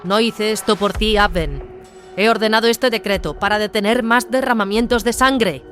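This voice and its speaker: Man acting as female battle sister, grave, firm, solemn, lifted pitch, sci-fi audiobook, music behind. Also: normal speed, a bit bright. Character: grave, resolute female warrior nun. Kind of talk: dramatized sci-fi audiobook dialogue.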